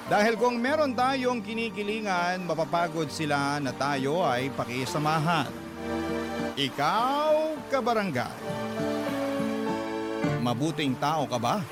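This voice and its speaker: A man with a Filipino accent narrating letters as a radio host, dramatic and emotive, with measured pauses. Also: high-pitched. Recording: loud music bed behind.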